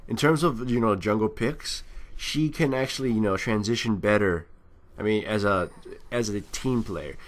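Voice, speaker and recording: A man's voice: lowish voice, moderate pace. A man doing casual, unscripted game commentary, thinking aloud in a rambling way and often trailing off. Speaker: gameplay commentator.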